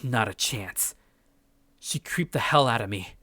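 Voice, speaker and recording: A man's voice: medium pitch, expressive, a little slow, pushed and bright, no room sound. A man playing a paranoid, sardonic young man narrating his journal in a visual novel, curt and brooding. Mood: brooding, bitter.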